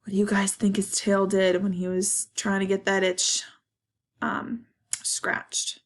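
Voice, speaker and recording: A woman's voice: medium pitch, completely flat delivery, slow. A woman reading a picture book aloud to children, expressively, stopping to explain and ask questions. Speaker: read-aloud storyteller for children. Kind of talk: picture book read-aloud with asides to young listeners.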